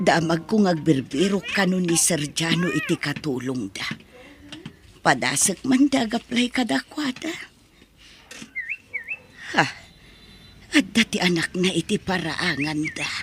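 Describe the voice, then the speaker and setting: A woman's voice: fairly low pitch, lively intonation, unhurried, long pauses, music in the background. A woman playing a poor, humble middle-aged mother in an audio drama, pleading and tender.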